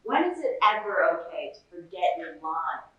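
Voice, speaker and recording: A woman's voice: lowish voice, very animated, moderate pace, dull audio. Echoey, snide voice of anxiety played by a girl. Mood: scornful. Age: teens. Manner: sarcastic, blunt, needling. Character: gloomy, snide male personification of anxiety. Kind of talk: student readers theater dialogue. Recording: very echoey room, distant microphone.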